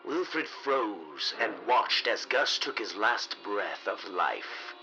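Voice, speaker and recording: Bright-voiced man narrating, dramatic and measured. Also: lowish voice, very animated, slight background noise, low-quality recording. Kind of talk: scripted narration of a 1930s radio mystery.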